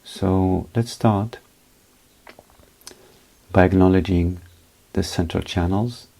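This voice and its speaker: A man leading a guided meditation as a teacher, speaking in short, unhurried phrases with pauses between them. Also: low-pitched, fairly even intonation, vocal fry, dark tone, low-quality recording.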